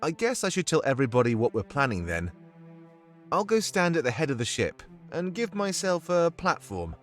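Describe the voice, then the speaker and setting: A man voicing an earnest, confident furry war leader in a visual novel, plain-spoken and steady. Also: a little high, normal speed, soft background music.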